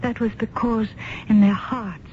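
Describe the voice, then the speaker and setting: An actress playing a mysterious woman from another world in a radio drama, speaking formally, deliberately and with hypnotic insistence. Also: on the high side, steady tone, dull audio, natural voice. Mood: grave. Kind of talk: old-time radio drama acting. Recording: band-limited old radio recording with noise.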